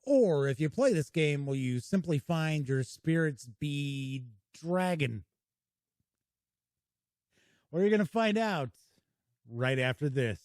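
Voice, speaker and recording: A man hosts a casual board game review with a folksy, welcoming manner. His pitch swings widely and expressively. His voice sits a little high but has a mellow, dark tone, and it is recorded dry in a small home studio. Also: lively intonation, takes it slowly, pauses often, no echo.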